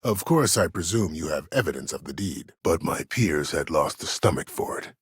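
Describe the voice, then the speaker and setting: An actor playing a cold, proud, older former war admiral in a video game, speaking formally and with measured authority in a gravelly, husky voice. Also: male voice, very deep voice, expressive, no echo, studio quality, full character voice. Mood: measured, calculating. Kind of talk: dramatic video game dialogue.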